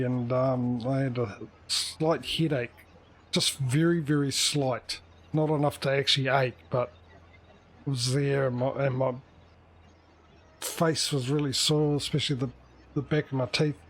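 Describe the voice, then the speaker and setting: Male livestream host, mid-pitched, casual and meandering, hesitant delivery with self-corrections, slight background noise. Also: fairly even intonation, normal speed.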